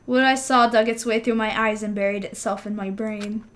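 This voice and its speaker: A woman playing a shaken teenage student who narrates a mystery story in the first person, grave and earnest with dramatic pauses. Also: medium pitch, fairly even intonation, lightly acted.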